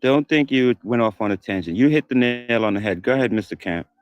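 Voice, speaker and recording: A man's voice: medium pitch, a little fast, muffled recording. A man moderating an online panel, speaking in an emphatic, reassuring way.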